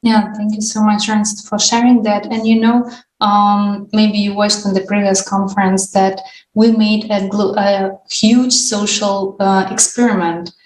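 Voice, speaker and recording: Woman moderating a panel, pretty even pitch, halting phrasing, echoey and a bit far from the mic. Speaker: discussion host. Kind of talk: online panel discussion, unscripted. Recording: roomy echo, distant mic, faint noise.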